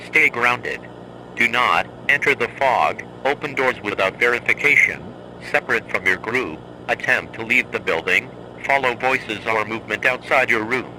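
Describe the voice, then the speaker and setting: A man playing a stern, composed emergency announcer in a horror drama, giving measured, clipped instructions as a scripted broadcast. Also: lowish voice, sharp tone, a little hiss or hum, poor audio.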